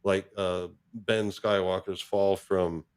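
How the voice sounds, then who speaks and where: A man chatting casually about films in a halting way, thinking aloud as he goes. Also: deep voice, little pitch movement, clean tone. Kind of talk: casual film discussion.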